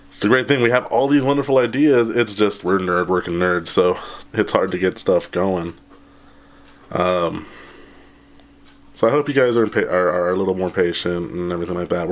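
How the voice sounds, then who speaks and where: A man in his thirties, slightly hoarse after being sick, chatting casually and rambling with lots of ums and laughs, holding a camera at arm's length in a small room. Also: low voice. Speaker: channel host. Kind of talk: casual update vlog. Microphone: handheld camera at arm's length.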